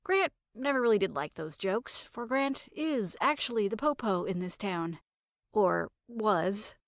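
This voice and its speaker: A woman narrates comic fiction in the first person with a fairly high voice. Her delivery is conversational and sardonic, like casual storytelling with a wry edge. The sound is close and dry. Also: no echo.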